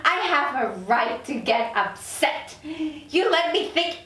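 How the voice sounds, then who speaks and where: High-voiced indignant career woman played by an actress. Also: sing-song, takes it slowly, pushed and bright, exaggerated voice. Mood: outraged. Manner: sarcastic, incredulous. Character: proud, indignant career woman newly arrived in hell. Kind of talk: script table read. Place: echoey room, recorded from off. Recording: roomy, distant mic, faint noise.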